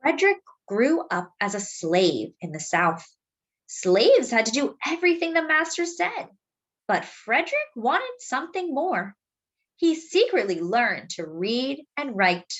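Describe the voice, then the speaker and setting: A woman reads a picture book aloud expressively, explaining things simply and deliberately as if to young children. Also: on the high side, very animated. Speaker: children's storybook reader.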